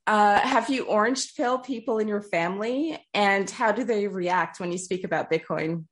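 Woman host asking quick, lively questions. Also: mid-range voice. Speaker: podcast host. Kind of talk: casual interview chat.

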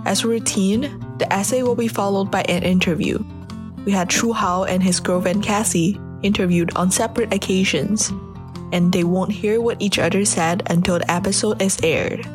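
A woman's voice: mid-range voice, steady tone, moderate pace. A woman hosting a podcast, giving a scripted introduction. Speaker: podcast host. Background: guitar music.

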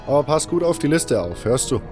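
A man's voice: on the high side, sing-song, a little fast, music in the background, pitched above the performer's own voice. A man voicing a stern, bossy mine guard with a brusque, commanding manner in an audiobook. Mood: stern warning. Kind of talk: audiobook character voice.

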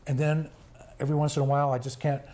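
An older man with a hoarse voice, talking slowly with many fillers in a casual family interview. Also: on the high side, level tone, mellow, a little hiss or hum. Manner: slow, halting, many fillers. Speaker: grandfather being interviewed.

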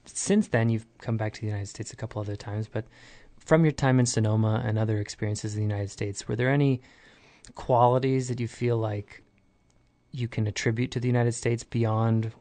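Low-voiced curious male interviewer. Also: steady tone, normal speed, mellow, no room sound. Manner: curious, conversational, asks long questions. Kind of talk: casual interview conversation.

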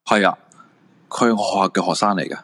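A man's voice: low-pitched, fast, fairly bright tone. A man with a Hong Kong Cantonese accent playing a bossy, flirtatious swimming coach in a radio drama. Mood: guarded.

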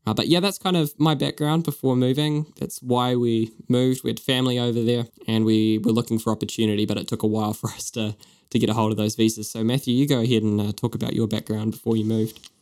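Young kiwi guy cohosting a podcast, chatty and upbeat, jokes around, medium pitch. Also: steady tone, fast talker, a little mellow. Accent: New Zealand. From New Zealand. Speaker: podcast co-host. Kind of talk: casual chat.

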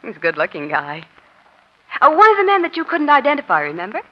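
A woman's voice: sits high, huge pitch swings, fast talker, exaggerated voice. A woman playing a savvy, chatty American newspaper reporter who feigns casual innocence in a 1940s radio crime drama. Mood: slyly chatty. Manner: breezy, chatty, slyly casual. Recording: dull, lo-fi old radio recording.

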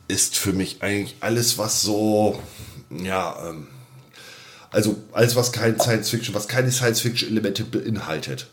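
Male podcast co-host, casual chat, halting and roundabout with repeated phrases. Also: on the low side. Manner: hesitant, roundabout, repeats himself. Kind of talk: casual sci-fi fan chat.

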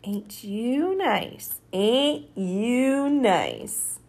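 Woman playing a bossy, furious young girl, pitched high. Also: big pitch swings, normal speed, slightly rough, full character voice. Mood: indignant, scolding. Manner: snappy, commanding, grumbling.

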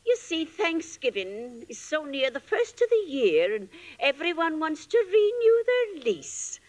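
An actress playing a vain, middle-aged landlady in an old-time radio comedy, gushing and quick to take offense. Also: high-pitched, very animated, darkish tone. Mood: huffy, self-satisfied. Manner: gushing, indignant, theatrical. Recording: vintage radio show before studio audience.

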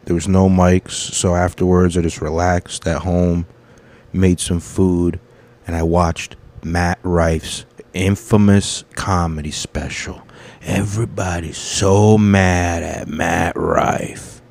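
A man's voice: sits low, fairly even intonation, slow, creaky, a little mellow. A man in his thirties, a comedian hosting his own podcast, rambling through his week in a casual, profane, self-correcting monologue.

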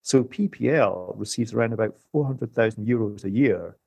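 Man, creaky low voice, dry close sound, economist guest, measured conversational explaining. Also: mellow, dry recording.

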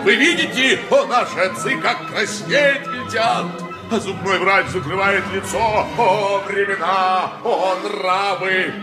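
An actor playing an impassioned, indignant lawyer in an ancient court, declaiming pompously in a theatrical radio play style. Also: male voice, high voice, slow, over loud music. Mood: accusing, theatrical.

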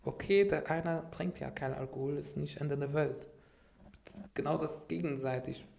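A man's voice: a little high, fairly even intonation, normal speed, creaky, darkish tone, slight background noise. A man from Syria whose first language is Arabic, telling his story as a podcast guest in a rambling way, full of fillers and restarts. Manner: rambling, many fillers and restarts, "sag ich mal" habit. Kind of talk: casual conversational storytelling.

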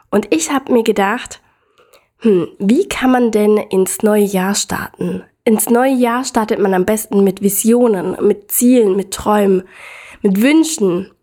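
A young woman hosts a solo podcast in an upbeat, eager way, speaking directly to her listener. Her voice has a slight hoarseness from a lingering cold. She sits at a middle pitch for a woman. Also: a little mellow. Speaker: podcast host. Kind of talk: casual solo podcast talk.

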